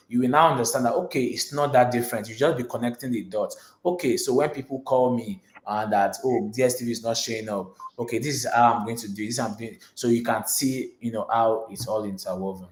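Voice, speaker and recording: A man with a Nigerian accent, Yoruba his first language, answering interview questions earnestly, restarting phrases and filling with "you know". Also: mid-range voice. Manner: earnest, repeats words, many "you know" fillers. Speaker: guest speaker. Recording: roomy echo.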